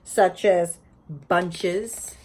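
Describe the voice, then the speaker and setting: A woman's voice: lowish voice, steady tone, very slow, a little mellow. A woman teacher giving an informal, explanatory lesson built around reading a children's picture book aloud. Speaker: teacher presenting educational read-aloud videos for teachers.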